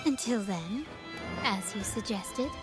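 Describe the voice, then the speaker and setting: A woman's voice: mid-range voice, expressive, slow. A woman voicing a poised, caring young noblewoman in a video game, composed, courteous and assured. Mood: composed, resolute. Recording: music clearly behind the voice. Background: background music.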